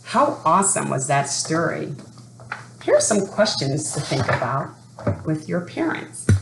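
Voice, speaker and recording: A woman speaking deliberately and expressively as an educator doing a children's read-aloud for families. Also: sits low, very animated, gravelly. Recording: echoey room with faint background noise.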